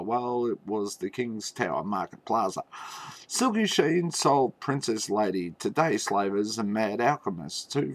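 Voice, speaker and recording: A man telling a mock fairy tale in a theatrical, tongue-in-cheek way, with halting pauses and knowing asides. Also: on the low side, gravelly, breathy edge, no echo. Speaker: storyteller.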